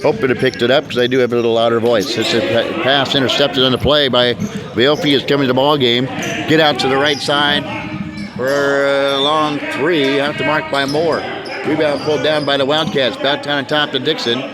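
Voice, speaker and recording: A man announcing basketball play by play in a brisk manner, calling the action as it happens. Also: mid-range voice, level tone. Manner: brisk, talks over the action. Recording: loud crowd and bouncing balls behind. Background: gym crowd and basketball bounces.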